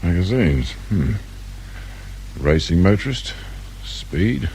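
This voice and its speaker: An actor playing a dry, businesslike, world-weary English police inspector in a British radio drama. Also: male voice, low-pitched, sing-song, slow, a little mellow, full character voice. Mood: polite, probing. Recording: faint noise behind radio drama recording.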